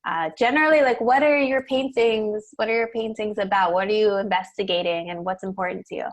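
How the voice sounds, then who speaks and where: A woman's voice: mid-range voice, fairly even intonation, fairly quick. A woman hosting a casual live event, interviewing in a friendly, welcoming manner. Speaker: host, interviewer.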